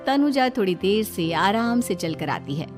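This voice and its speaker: Woman storyteller, medium pitch, music underneath. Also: animated, unhurried. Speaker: storyteller, narrator. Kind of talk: scripted children's moral story narration.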